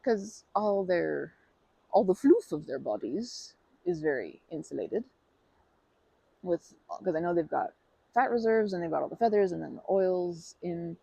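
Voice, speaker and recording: A woman doing an ASMR roleplay as a polite stranger, talking softly with hesitant, rambling chatter and many restarts, sometimes dropping to a whisper. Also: on the low side, animated, unhurried, gravelly, husky, dry recording, rough recording. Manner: soft-spoken, drops to whispers.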